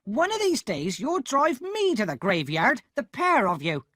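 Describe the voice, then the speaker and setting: A man voicing a hard, angry older woman who scolds and snaps in a shrill voice. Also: huge pitch swings, unhurried, bright, forceful tone, dry recording, clean studio sound, heavily acted. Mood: scolding.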